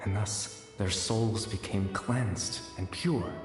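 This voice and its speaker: A man voicing a gentle, solemn, disembodied storyteller in a video game, reciting poetically in a soft, soothing and measured way through an echoing effect. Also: on the low side. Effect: echoing reverb. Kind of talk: poetic scripted game narration. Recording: echoing, long reverb. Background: music.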